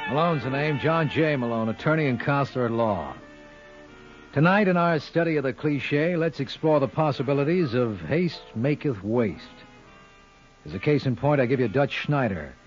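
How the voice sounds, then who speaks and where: A man's voice: medium pitch, lively intonation, normal speed, lightly acted. A man playing a sardonic, wisecracking lawyer who narrates his own case in a 1940s radio mystery. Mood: wry. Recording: dull old radio recording, music behind.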